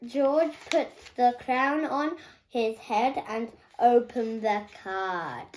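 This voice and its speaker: Young girl slowly reading a storybook aloud. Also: on the high side, darkish tone, rough recording. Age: child. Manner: halting, careful reading with stumbles and self-corrections. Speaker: young reader. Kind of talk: reading a children's picture book aloud.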